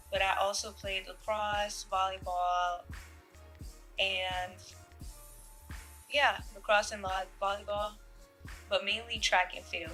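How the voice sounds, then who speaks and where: A young woman from Jamaica, a fashion model, answering interview questions in a relaxed way with fillers and soft laughs. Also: medium pitch, rough recording.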